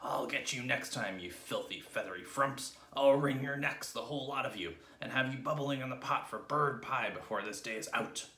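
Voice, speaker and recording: A fearsome, blustering monkey trainer acted by a man from a children's storybook. He barks and menaces with wildly varied pitch, nasty through and through, in a roomy space. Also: expressive, fairly quick, gravelly, few pauses, bright, forceful tone. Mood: furious. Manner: barking, menacing. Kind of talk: dramatised children's storybook reading.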